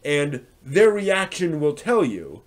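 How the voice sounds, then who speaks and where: A man's voice: fairly high pitch, big pitch swings, slow pace. A man hosting a live stream, talking casually and answering viewers' comments in a hesitant, thinking-aloud manner.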